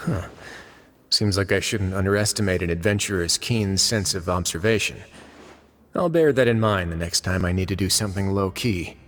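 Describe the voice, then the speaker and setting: An actor voicing a composed, wry duke in a video game, speaking in a dry, polite, unhurried manner. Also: male voice, deep voice, a bit bright. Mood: dryly teasing. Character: composed, wry duke running an underwater prison.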